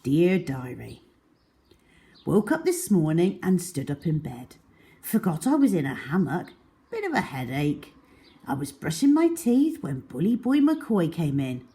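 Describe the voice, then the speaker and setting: Slow, animated woman reading a storybook. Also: low voice. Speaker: children's storyteller. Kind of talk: expressive storybook read-aloud in a child's diary voice.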